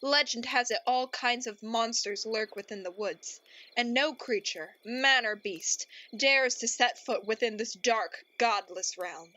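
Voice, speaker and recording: A woman's voice: a little high, measured pace, bright, forceful tone, lo-fi sound. A woman playing a melodramatic, easily exasperated narrator in a radio play, grandiose and theatrical. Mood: ominous. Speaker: narrator and host.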